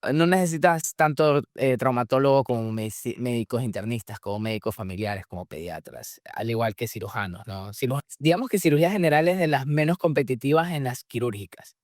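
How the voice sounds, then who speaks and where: A man's voice: mid-range voice, brisk, hardly any pauses, no echo. Spanish-speaking man explaining patiently, dry sound. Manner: explanatory, frequent restarts and fillers. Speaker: guest, surgery resident in the US. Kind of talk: conversational interview answers.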